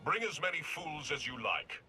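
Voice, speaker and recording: A man's voice: fairly high pitch, very animated, moderate pace, sharp tone, soft background music, exaggerated voice. An actor playing an obsessed, proud male leader in a video game, speaking in a commanding, scornful manner.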